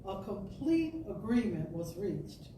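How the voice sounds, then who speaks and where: A woman giving an expressive reading of an inspirational talk, like a storyteller, with deliberate phrasing and emphatic pauses. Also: mid-range voice. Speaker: storyteller and speaker at a spiritual center. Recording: distant roomy pickup with background noise.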